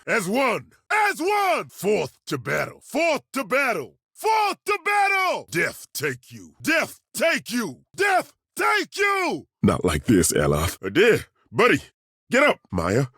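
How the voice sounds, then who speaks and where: Gruff, husky old-soldier dwarf for a fantasy game, unhurried and dry, bright edge in the tone. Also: male voice, sits high, huge pitch swings, dry recording, full character voice. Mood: battle roaring. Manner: dry, wry and plainspoken, with roaring battle cries. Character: gruff, folksy middle-aged male dwarf fighter. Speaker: party companion. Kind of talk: video game voice acting, barks and banter.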